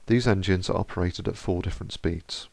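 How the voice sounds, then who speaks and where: Low gravelly man, measured voice-over. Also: no echo. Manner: steady, measured explanatory voice-over. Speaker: researcher. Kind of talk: scripted narration.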